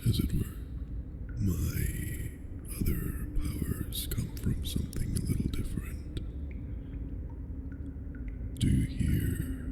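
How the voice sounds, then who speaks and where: An ancient dragon master in an ASMR roleplay, with a scorched throat crackling in extreme vocal fry. The delivery is very slow and half-whispered, commanding and condescending, with a teasing, seductive edge. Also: sits low, full character voice. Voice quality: burned, gravelly vocal fry, mostly unvoiced.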